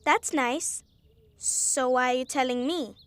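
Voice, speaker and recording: A woman's voice: sits high, slow pace, clear, resonant tone, no room sound. A woman voicing a stubborn, aloof young female character in a kids' cartoon, matter-of-fact and dismissive. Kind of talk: animated kids' cartoon dialogue.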